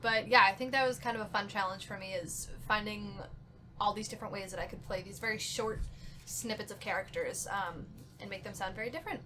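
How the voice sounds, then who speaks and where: A woman's voice: mid-range voice, fairly quick, faint music behind. A woman chatting informally on a panel, effusive and given to swearing. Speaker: voice actor on a podcast panel. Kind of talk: casual group chat. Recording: bright with some background noise.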